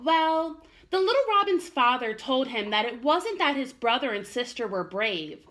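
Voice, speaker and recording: A woman voicing a sweet, patient mother raccoon who gently explains things in a picture book. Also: high-pitched, big pitch swings, moderate pace, pitched above the performer's own voice. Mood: patient, explaining. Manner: gentle explaining in storytelling phrases. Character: sweet, patient mother raccoon telling her cub a tale.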